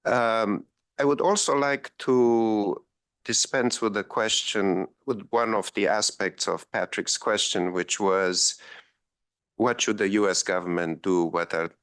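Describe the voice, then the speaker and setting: A man's voice: on the low side, fairly even intonation, slow, a bit bright, clear, resonant tone, no echo. A man from Romania giving a policy panel talk, with frequent ums and wry asides. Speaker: panel speaker.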